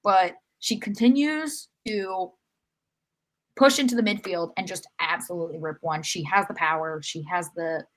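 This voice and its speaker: Female podcast co-host, lower-pitched, conversational and analytical sports talk. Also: moderate pace. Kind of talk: casual sports discussion.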